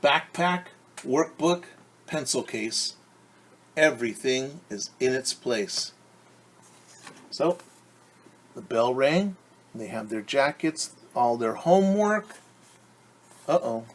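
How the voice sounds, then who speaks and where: A man's voice: mid-range voice, a little hiss or hum. A male preschool teacher reading a picture book aloud and explaining it in simple, instructive words for young children. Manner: simple, instructive phrasing addressed to small children.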